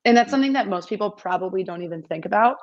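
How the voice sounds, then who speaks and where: A woman in her twenties with a slightly raspy voice, chatting upbeat as a guest in an interview over a video call. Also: medium pitch, expressive, racing, muffled recording. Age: 20s. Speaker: competition MC, guest. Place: small indoor room.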